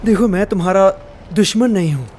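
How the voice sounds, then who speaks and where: Man playing young male trickster, cheeky, high-pitched, slightly off-mic. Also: a little fast, background noise, natural voice. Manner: pleading, cheeky. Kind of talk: dubbed game cutscene.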